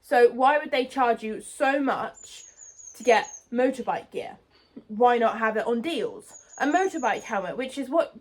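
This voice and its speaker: Young british girl ranting into her phone by the road, high-pitched, fed up and indignant. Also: rough recording. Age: young adult. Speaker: vlogger. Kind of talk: ranting vlog. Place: outdoors beside a road. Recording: phone mic outdoors, cars passing.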